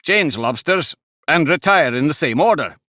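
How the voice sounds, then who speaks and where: A man voicing a bossy, boisterous male griffin who speaks loudly and decidedly. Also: on the high side, expressive, normal speed, no room sound, studio quality, voice pushed up. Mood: shouting. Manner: loud, decided and blustering.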